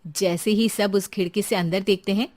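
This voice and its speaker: A woman narrating a scripted animated horror story. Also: medium pitch, normal speed.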